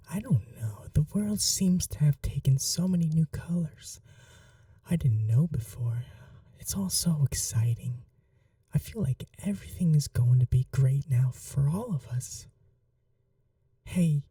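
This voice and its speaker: A performer voicing a sweet, innocent young vampire prince, a doting younger brother, in breathy, earnest ASMR roleplay. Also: medium pitch, mellow, no echo, far from the mic. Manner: breathy, whispery, earnest, affectionate.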